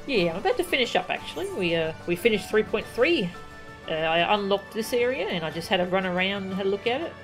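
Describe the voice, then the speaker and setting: A woman's voice: mid-range voice, sing-song, fast talker, low-quality recording. A woman giving casual, chatty live commentary as she streams a video game, easily delighted. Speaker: game livestreamer. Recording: loud game music behind. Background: video game music.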